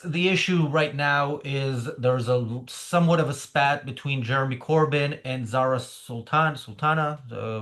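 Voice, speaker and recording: A man's voice: mid-range voice, fairly bright tone. A male podcast host opening an episode of political commentary. His voice sits in a middling register, and his delivery is dry and sarcastic, broken up by frequent filler sounds.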